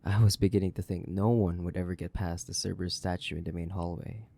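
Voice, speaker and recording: A man playing a centuries-old, sadistic vampire in a theatrical horror audio roleplay, condescending and drawling, with a gravelly, breathy voice that slips into whispers. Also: sits low, steady tone, fast, warm tone. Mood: wry, musing. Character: sadistic, centuries-old imprisoned male vampire, mocking and bored. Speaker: voice actor.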